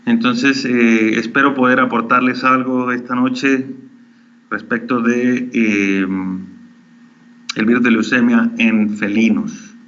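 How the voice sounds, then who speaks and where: A man's voice: medium pitch, little pitch movement, fairly bright tone. Mexican vet guy in his 40s, accent a bit chilean, lots of ums and restarts, muffled call audio. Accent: Mexican with some Chilean. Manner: friendly, halting, many fillers and restarts. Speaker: veterinarian giving a webinar talk. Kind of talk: online lecture introduction.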